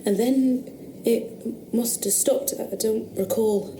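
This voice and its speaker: Scared rustic young woman in a radio play, slow halting talk, echoey room with noise. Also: fairly high pitch, steady tone. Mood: fearful. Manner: halting, hesitant, rustic speech. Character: frightened young country woman, insistent witness. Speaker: witness questioned about noises. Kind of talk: radio drama acting.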